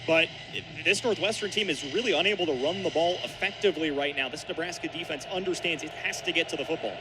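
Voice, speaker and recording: A man's voice: a little high, quick pace. A young man giving explanatory, analytical color commentary on a live football radio broadcast. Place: outdoor football stadium broadcast. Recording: stadium broadcast with loud ambient noise.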